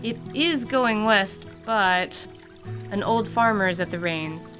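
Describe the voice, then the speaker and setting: A woman narrating a scripted tabletop radio drama in an even, descriptive way, over music. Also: mid-range voice, slow. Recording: clear music bed under voice.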